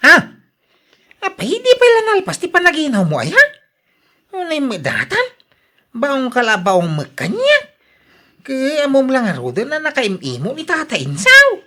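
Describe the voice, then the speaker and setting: A woman's voice: high voice, huge pitch swings, moderate pace, long pauses, sharp tone, exaggerated voice. A woman with an Ilocano accent playing a reluctant, grumbling villager in a radio drama. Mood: indignant. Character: reluctant, grumbling village spouse sent on errands.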